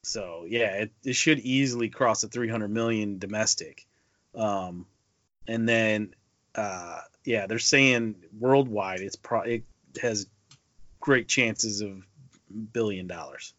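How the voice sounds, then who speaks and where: A man's voice: lowish voice, takes it slowly, fairly bright tone, no room sound. A man co-hosting a casual movie podcast, speaking emphatically and repeating himself to drive points home. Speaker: podcast co-host. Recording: dull lo-fi podcast audio.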